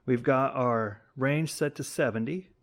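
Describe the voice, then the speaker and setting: A man's voice: mid-range voice, a little slow. A man hosting a casual hands-on tutorial, explaining informally and thinking aloud, often correcting himself as he goes. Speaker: YouTube host demonstrating vintage audio testing.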